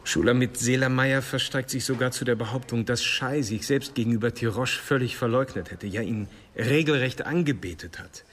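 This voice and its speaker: A man's voice: mid-range voice, moderate pace, hardly any pauses, fairly bright tone, natural voice. A man playing a practical, teasing police colleague who talks in a wry, matter-of-fact way in a radio crime drama.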